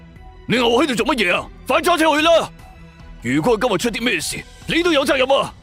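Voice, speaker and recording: A man with a Cantonese accent voicing a gruff, impatient, commanding police detective in a suspense audio drama. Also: very high voice, very animated, fairly quick, fairly bright tone, soft background music, pitched above the performer's own voice, full character voice. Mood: fierce, scolding. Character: gruff, urgent, bossy male police detective.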